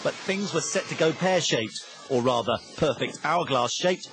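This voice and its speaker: A man's voice: on the high side, lively intonation, moderate pace, fairly bright tone, slight background noise, low-quality recording. A British man delivering scripted documentary narration in a wry, tongue-in-cheek way.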